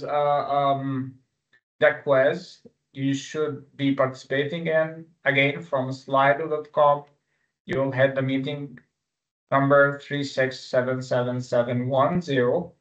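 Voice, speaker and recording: A man whose native language is Arabic, teaching an online exam-review webinar with live quiz questions in an informal, halting way. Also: medium pitch. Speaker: exam-prep instructor. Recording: dull, lo-fi webinar audio.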